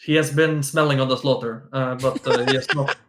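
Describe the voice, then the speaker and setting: A man's voice: mid-range voice, fairly even intonation, fairly quick, a bit bright, echoey room. A man, a guest on a stream, chatting playfully with giggles and lots of restarts. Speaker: guest, esports player. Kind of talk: casual chat on a stream.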